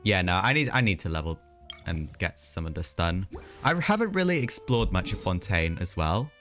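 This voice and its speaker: A man's voice: sits low, big pitch swings, moderate pace, a bit bright, faint music behind. A man live streaming a video game, chatting casually in a rambling way with hesitant asides.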